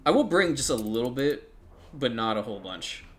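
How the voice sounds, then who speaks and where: A man's voice: mid-range voice, big pitch swings, moderate pace. A man giving casual, rambling commentary while playing a strategy game, thinking aloud and swearing now and then.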